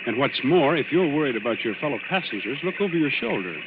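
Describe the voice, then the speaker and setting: A reassuring gentleman in an old radio thriller, with a mid-range, very animated voice. He is confident and a little patronizing, heard on a tinny recording with loud noise. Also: medium pitch. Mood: soothing, confident. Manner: soothing, brisk, lightly patronizing. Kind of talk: 1940s radio drama acting.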